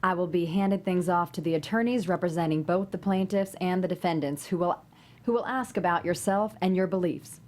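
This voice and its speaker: A woman's voice: on the low side, a little fast, darkish tone, lightly acted. A woman playing a courteous, formal judge addressing jurors in a table read.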